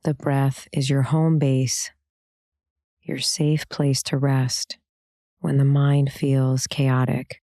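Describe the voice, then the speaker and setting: A woman meditation teacher giving a soothing guided meditation, calm and gentle, with unhurried instructions and pauses between phrases. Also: very deep voice, no room sound, clean studio sound.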